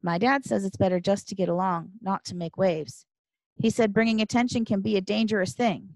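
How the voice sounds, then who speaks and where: A woman voicing a cautious, mild seventh-grade boy in an audiobook, reasonable and low-key in manner. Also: brisk, dry recording, close to an everyday voice, low-quality recording. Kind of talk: audiobook character dialogue.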